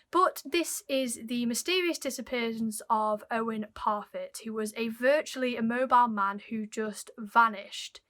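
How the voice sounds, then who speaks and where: A young woman hosting her channel, telling an old mystery case in a chatty way while her voice is croaky and fading. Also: on the high side, a bit bright. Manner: chatty, apologetic, dwells on odd details. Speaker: YouTube host. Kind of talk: casual mystery storytelling to camera.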